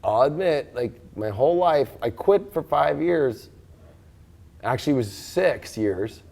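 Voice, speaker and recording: Brief: raspy male tarot reader, loose live-stream chatter, excitable, mid-range voice. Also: medium pitch, unhurried. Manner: rambling and excitable, with frequent fillers and sudden loud outbursts.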